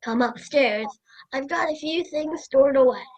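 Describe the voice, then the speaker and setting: A young woman in an amateur radio play, playing a gruff but playful old grandfather. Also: high-pitched, a little slow, darkish tone, muffled recording, faint music behind. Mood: patient, nostalgic. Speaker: student radio play performer.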